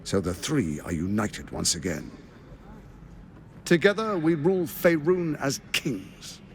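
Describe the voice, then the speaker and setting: An actor playing a scheming, grandiose tyrant lord in a video game, commanding and persuasive in a theatrical way. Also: male voice, mid-range voice, sing-song, takes it slowly, slight background noise. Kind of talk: video game cutscene acting.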